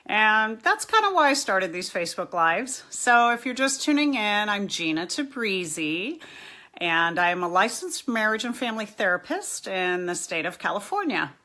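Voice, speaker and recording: A bright-voiced middle-aged woman hosting a live stream from her webcam. She talks in a loose, chatty way, pokes fun at herself and keeps greeting viewers by name. Her pitch sits in the middle for a woman. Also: sing-song, moderate pace. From New York and Miami. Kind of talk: casual live-stream chat.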